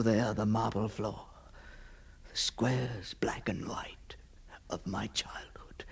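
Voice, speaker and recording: Haunted gentleman, male, gothic radio monologue. Slow, husky, fevered, halting, theatrical; obsessive edge. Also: fairly low pitch, heavily acted. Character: haunted, obsessive gentleman confronting a ghostly double. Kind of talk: dramatic gothic monologue, radio drama acting.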